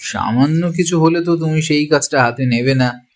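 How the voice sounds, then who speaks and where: A man as the higher-pitched companion of a detective. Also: normal speed, a little hiss or hum, close to an everyday voice. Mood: curious, sceptical. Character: detective's male companion narrating, curious and sceptical. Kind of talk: first-person story narration and drama dialogue.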